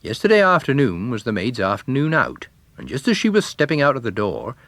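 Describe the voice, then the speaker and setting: A man's voice: medium pitch, huge pitch swings, brisk, fairly bright tone, dry recording. A man voicing a matter-of-fact, gossipy police sergeant in a story reading, colloquial and plain-spoken. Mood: explaining.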